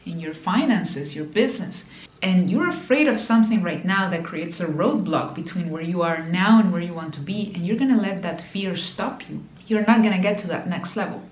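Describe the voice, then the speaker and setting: A woman's voice: lowish voice, normal speed, echoey room, low-quality recording. A woman with a Spanish accent, Spanish her first language, giving an emphatic motivational talk to camera as a business mentor, repeating key phrases and addressing the viewer directly.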